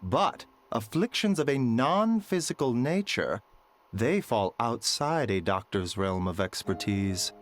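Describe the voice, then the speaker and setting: An actor playing a calm, courteous male doctor who speaks in a measured, formal way. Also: medium pitch, a little hiss or hum.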